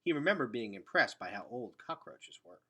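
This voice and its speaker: A man reading a children's novel aloud as narrator, with expressive delivery. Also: mid-range voice, a little fast, breathy edge.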